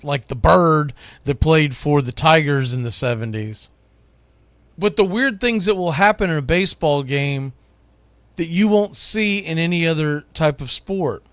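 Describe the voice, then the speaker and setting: A man's voice: on the high side, animated, measured pace, a bit bright, no room sound. A man from the United States hosting sports radio, talking casually and with firm opinions as he counts down a list, leaning on key words.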